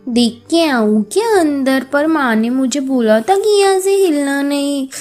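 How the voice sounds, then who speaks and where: Little girl, voiced by a woman: very high, unhurried, innocent and wondering, children's story over guitar music. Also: expressive, darkish tone. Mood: restless, curious. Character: innocent, eager little girl, a poor maid's daughter. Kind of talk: acted children's moral story. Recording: music behind the voice.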